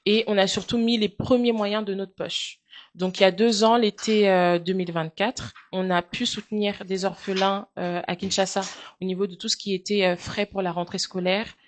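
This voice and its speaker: A French woman in her thirties answering interview questions, speaking in a measured, earnest way with frequent hesitant fillers. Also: medium pitch, fairly even intonation. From France. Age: 30s. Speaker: guest, charity founder.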